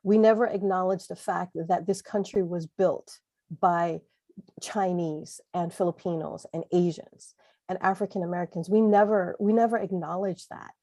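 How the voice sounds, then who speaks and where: A woman's voice: lowish voice, steady tone, normal speed, warm tone, no echo. A woman from Hawaii with a Pidgin accent, speaking earnestly as a panelist in a community discussion. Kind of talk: panel discussion over video call.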